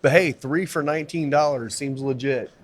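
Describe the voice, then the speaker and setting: A man's voice: a little high, moderate pace. A man co-hosting a casual podcast from a cigar lounge, laid-back and joking. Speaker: podcast co-host. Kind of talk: casual chat.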